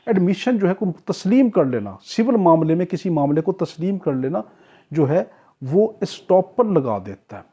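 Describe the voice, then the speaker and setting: A man's voice: high-pitched, big pitch swings, normal speed, mellow, breathy edge. A man with a Pakistani accent teaching law in an explanatory lecture, posing questions and answering them himself. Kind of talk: explanatory lecture with acted-out examples. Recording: dull, muffled lo-fi lecture recording.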